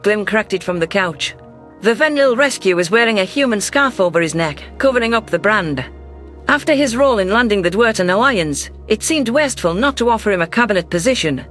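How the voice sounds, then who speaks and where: High-voiced male narrator, science fiction. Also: animated, a little fast, a bit bright. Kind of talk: scripted first-person science fiction narration. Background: faint music.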